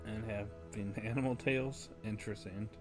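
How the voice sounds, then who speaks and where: A man's voice: fairly low pitch, steady tone, moderate pace. A man livestreaming a video game, chatting casually in an offhand, musing way. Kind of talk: casual livestream chat and commentary. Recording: loud game music behind. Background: game music.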